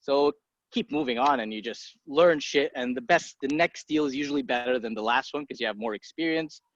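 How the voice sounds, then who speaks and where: A man in his thirties from Egypt, a podcast guest talking casually and bluntly, swearing freely and tossing off quick asides. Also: mid-range voice, expressive, no echo. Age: 30s. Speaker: guest, entrepreneur. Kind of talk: casual interview talk. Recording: dry muffled podcast audio.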